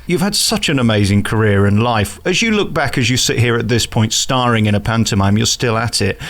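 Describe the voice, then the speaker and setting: A British man interviewing a guest conversationally, with a direct, cheeky and flattering manner. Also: medium pitch, a little fast. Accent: British English. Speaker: radio interviewer. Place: theatre dressing room.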